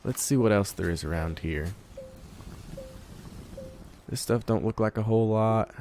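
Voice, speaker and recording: A man with a congested voice from a cold, giving relaxed let's play commentary, thinking aloud while he plays. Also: fairly low pitch, measured pace, slight background noise. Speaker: gamer.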